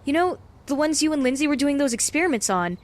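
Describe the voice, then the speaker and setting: A woman's voice: sits high, animated, fast. A woman playing a brave, earnest young lab intern in a comic-book audio drama, talking in a conversational, coaxing way. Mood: feigned innocence.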